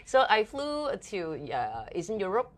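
A woman's voice: medium pitch, measured pace. A middle-aged woman from Hong Kong with a Hong Kong accent, a TV and radio host chatting as a guest and acting out little scenes as she tells them. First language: Cantonese. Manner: animated, playful storyteller acting out little scenes. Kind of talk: casual interview chat.

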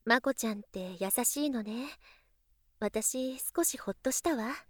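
An actress playing a refined, gentle young lady of wealth in an audio drama, speaking softly and politely with a coaxing, slyly doting big-sister manner. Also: a little high, measured pace, no room sound, studio quality. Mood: apologetic, gentle. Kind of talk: Japanese voice drama acting.

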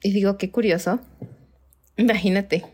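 A woman's voice: mid-range voice, fairly even intonation, normal speed, darkish tone, slightly rough. Chatty young Mexican woman, laughing often. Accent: Mexican Spanish. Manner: chatty, laughs often, acts out her own reactions in quotes. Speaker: podcast co-host. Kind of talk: casual chat.

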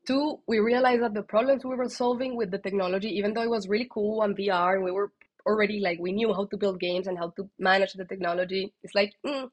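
A woman with a strong Colombian Spanish accent, a podcast guest telling her story in a lively, candid way. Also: mid-range voice, fast, low-quality recording. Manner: lively and candid, with frequent fillers and restarts. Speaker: guest, tech executive.

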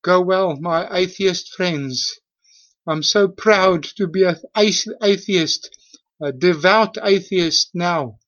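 A South African man in his late fifties speaks slowly in a fairly high voice. He gives an earnest, halting personal testimony, leaning hard on his words. The sound is a dull, lo-fi voicemail recording. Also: a bit bright. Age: late 50s. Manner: earnest, halting, emphatic, repeats himself. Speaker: voicemail caller.

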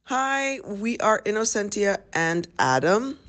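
A woman's voice: mid-range voice, very animated, slow, fairly bright tone. A woman from Ethiopia, by way of Virginia, speaking plainly and matter-of-factly about her marriage. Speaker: interviewee, wife. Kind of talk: casual chat.

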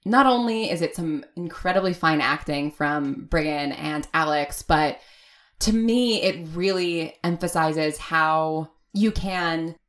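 A woman with a low voice speaks as a podcast guest. She is casual and thoughtful, picking through her ideas haltingly. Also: a bit bright. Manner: halting, thoughtful, frequent "like" and "sort of". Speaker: audio drama writer, podcast guest. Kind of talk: casual interview conversation.

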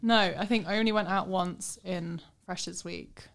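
A woman's voice: on the low side, steady tone, moderate pace, vocal fry, crowd noise. A young woman from Peterborough, a student guest on a casual podcast, who talks in a rambling way, drifting into tangents and laughing often. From Peterborough, England. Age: young adult. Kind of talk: casual chat.

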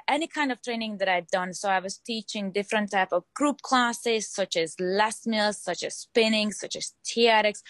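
A young woman with a Hungarian accent, speaking English as a second language, talks earnestly as a fitness trainer. She pauses to find her words and repeats phrases as she goes. The voice sits in a middle register and sounds close and dry, with no room echo. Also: medium pitch, fairly bright tone. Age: 20s. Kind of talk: interview answers.

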